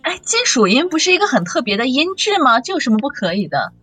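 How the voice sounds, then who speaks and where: A woman's voice: high voice, big pitch swings, fast talker, bright, forceful tone, husky. A woman hosting a casual chat, playful and teasing with her guest. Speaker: host. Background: saxophone background music.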